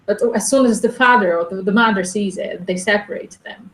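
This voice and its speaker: A woman's voice: mid-range voice, fairly quick. A woman from Brazil, speaking English in a casual conversation as an interviewee, thinking aloud with restarts and repeated words. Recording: echoey room.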